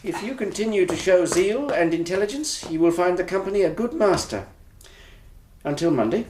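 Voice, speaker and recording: A man's voice: high voice, lively intonation, normal speed, a little mellow, slight background noise. An actor playing a pompous, avuncular, slyly jovial male employer in a period radio drama, with a patronising, theatrical manner. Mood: pompous, businesslike.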